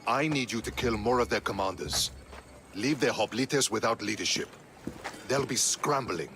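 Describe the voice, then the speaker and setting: A man's voice: mid-range voice, animated, normal speed, sharp tone, a little hiss or hum. A man playing a stern, commanding general with a disdainful manner in a video game cutscene. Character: stern, scornful male Athenian general. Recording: music under the voice.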